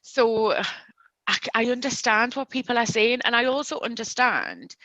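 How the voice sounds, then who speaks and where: Bright-voiced Geordie woman. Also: a little high, moderate pace, dry recording, dull audio. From Newcastle, England. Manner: friendly, explains steadily with fillers. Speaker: recruitment consultant guest. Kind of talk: casual podcast interview.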